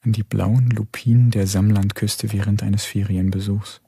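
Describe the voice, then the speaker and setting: An actor playing a reflective man who recalls the beauty of the earth in a slow poetic radio-play monologue. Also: on the low side, little pitch movement, gravelly, warm tone, breathy edge, exaggerated voice. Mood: wistful, reflective.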